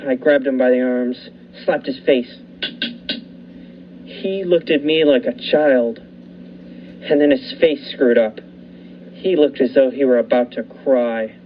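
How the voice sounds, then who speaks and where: A young man narrates a radio thriller in the first person, keeping a steady pace as he builds the tension. His pitch sits in the middle range. The recording is dull, with a faint hiss behind it. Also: slow, pauses often. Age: young adult. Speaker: narrator and lead actor.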